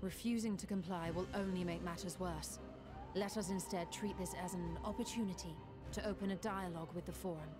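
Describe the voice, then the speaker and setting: An actress playing a composed, resolute sorceress in a fantasy game, with a calm, deliberate and formal delivery. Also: female voice, fairly low pitch, natural voice. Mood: calm, measured. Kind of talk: formal dramatic game voice acting. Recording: roomy reverb, music underneath.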